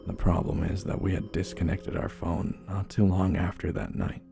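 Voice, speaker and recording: A man's voice: sits low, level tone, creaky. A man narrating a first-person horror story in a somber, dramatic manner. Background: slow dark music.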